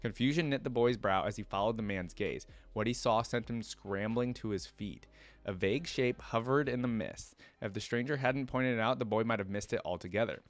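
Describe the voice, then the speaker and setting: A man's voice: on the low side, fairly quick, no room sound. A man hosting a reaction video, reading lore aloud and adding informal, casual fan commentary.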